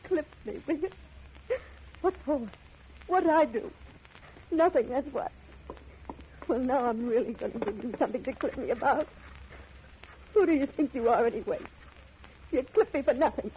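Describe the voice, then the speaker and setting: An actress playing a brash, slangy, tough-talking dame in an old radio crime drama, defiant and scolding. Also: female voice, sits high, expressive, normal speed, pauses often, warm tone, a little hiss or hum. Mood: defiant, angry. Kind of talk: 1950s radio drama acting. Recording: dull old radio recording.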